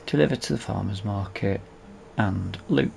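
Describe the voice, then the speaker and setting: A man's voice: lowish voice, moderate pace, gravelly, darkish tone, a little hiss or hum. A man giving casual let's play commentary on a video game, muttering to himself as he plays. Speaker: gaming video host.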